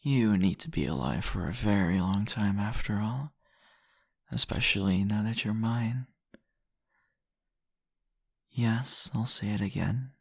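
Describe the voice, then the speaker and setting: Seductive vampire host male actor, ASMR roleplay. Gravelly, husky murmur, possessive and teasing, very dry close-up sound. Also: fairly low pitch, no room sound, exaggerated voice. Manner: slow, teasing, murmuring, deliberate. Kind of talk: intimate ASMR roleplay addressed to the listener.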